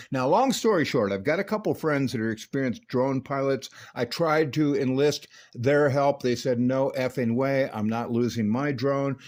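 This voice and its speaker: A man's voice: medium pitch, darkish tone. A man narrating his own hobby video in a casual, folksy and self-assured way, with slangy turns of phrase.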